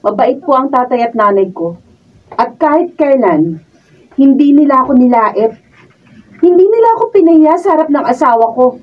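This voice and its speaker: A woman's voice: high voice, expressive, moderate pace, long pauses. A Filipino woman playing a fed-up, defiant daughter-in-law in a radio drama, answering back pointedly. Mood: curt restraint. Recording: distant mic, dull sound.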